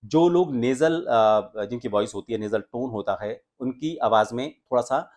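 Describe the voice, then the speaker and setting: शांत आवाज़ में समझाता हुआ आदमी. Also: male voice, mid-range voice, moderate pace, a little mellow. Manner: explanatory, demonstrates humming sounds. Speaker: voice coach and anchor. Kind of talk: voice training lesson.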